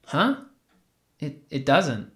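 A man's voice: on the high side, sing-song, extremely slow, a bit bright, exaggerated voice. A man playing an earnest, defensive and naively trusting young man in a naturalistic audio drama. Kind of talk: naturalistic audio fiction podcast acting.

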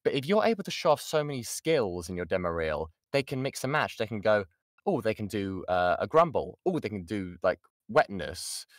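A man's voice: lowish voice, sing-song, moderate pace, no room sound, clean studio sound. A British man giving an informal voice acting lesson and answering questions, often restarting his sentences and stressing key points. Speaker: voice actor giving a workshop. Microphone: close mic on an online voice chat.

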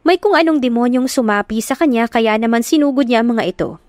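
A woman narrating a romance story in a steady, even reading voice. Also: a little high, brisk, dry recording, studio quality.

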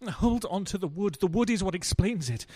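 Man acting a flustered philandering husband, animated delivery. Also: sits high, fairly quick. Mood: flustered, bewildered. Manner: flustered, stammering, florid. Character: bumbling, self-dramatizing philandering English husband. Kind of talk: radio play acting.

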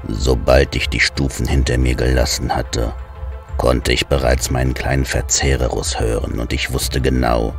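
A man voicing a grieving and wrathful male god of the underworld, slow, very deep and gravelly, heard from a distance. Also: level tone. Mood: mournful. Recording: distant voice over faint music.

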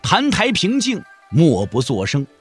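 A man narrates a martial-arts epic as a dramatic storyteller. His delivery swings widely in pitch, sitting fairly high for a man, with faint music underneath. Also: wildly swooping pitch, unhurried, breathy edge.

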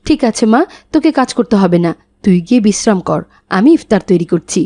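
A woman playing a gently nagging, soft-hearted mother in a cartoon, coaxing her child. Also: medium pitch, expressive, fairly quick. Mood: soft, relenting. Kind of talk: cartoon dialogue acting.

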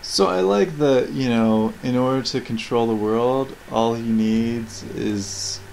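A man's voice: mid-range voice, takes it slowly, gravelly, a little mellow, slight background noise. Rambling man commentating over game audio. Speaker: commentator reviewing video game cutscenes. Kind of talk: casual off-the-cuff commentary.

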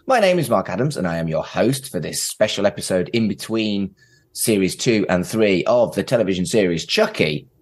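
A man's voice: lowish voice, sing-song, normal speed, breathy edge. A man hosting a podcast in a casual, chatty style, with wry, self-deprecating asides. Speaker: podcast host.

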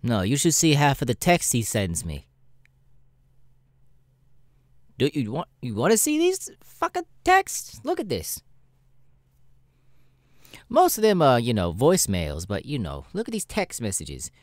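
A man's voice: mid-range voice, wildly swooping pitch, moderate pace, no room sound, studio quality. A man voicing a jaded, sassy, foul-mouthed male spider demon with a sardonic, weary drawl in an ASMR audio roleplay. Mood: venting, sardonic. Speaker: voice actor, ASMR roleplay artist.

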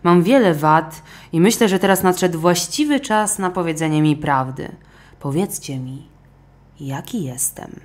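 Casting: woman as lion monarch for a storybook, boastful, pompous, falsely meek, measured delivery. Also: on the low side, lively intonation. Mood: indignant, demanding. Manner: pompous, grandly addressing his subjects. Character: proud, boastful male lion king, falsely humble.

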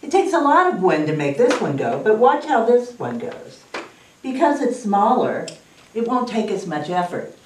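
A woman's voice: mid-range voice, sing-song, moderate pace, darkish tone. Older woman, children's librarian, sing-song storytime, echoey room, far from the mic, faint noise. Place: library room with wood paneling. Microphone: far camera.

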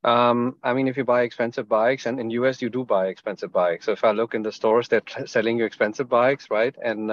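A man's voice: mid-range voice, no echo. Middle-aged male founder on a muffled, dry video-call line, casual rambling delivery. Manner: loose, repeating you know and I mean. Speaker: startup founder.

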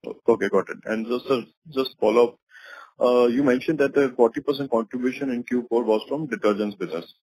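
A man's voice: medium pitch, a little fast, a little mellow, husky, dry recording, poor audio. A man asking follow-up questions as a caller on an earnings call.

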